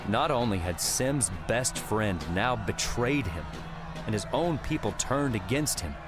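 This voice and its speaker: A man's voice: mid-range voice, expressive, unhurried, crowd noise. A man reading scripted third-person fiction as an audiobook narrator. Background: prominent music with outdoor ambience.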